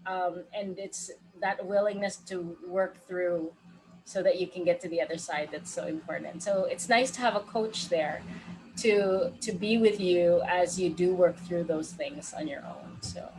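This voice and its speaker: A woman life coach with a loud voice, talking casually in an interview and laughing often. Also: lowish voice, a little slow, poor audio. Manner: laughs often, speaks of holding space.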